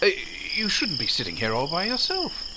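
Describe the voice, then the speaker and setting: An actor playing a proud, haughty young gentleman smitten despite himself, in 1940s radio drama style. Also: fairly high pitch, huge pitch swings, moderate pace, very noisy. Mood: earnest, smitten.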